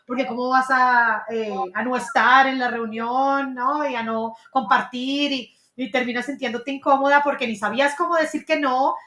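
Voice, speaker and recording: A woman's voice: high-pitched, steady tone, moderate pace, few pauses, sharp tone, reverberant, slight background noise. A woman hosting a casual podcast chat, talking freely with many restarts and searching for words. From Colombia. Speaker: podcast host. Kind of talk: casual conversation.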